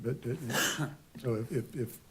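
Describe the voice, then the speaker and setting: Hoarse halting man at a hearing. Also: medium pitch, steady tone, a bit bright. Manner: halting, many repeated words and uhs. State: hoarse from a cold. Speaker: attorney for applicant. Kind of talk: formal hearing presentation. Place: county board meeting room.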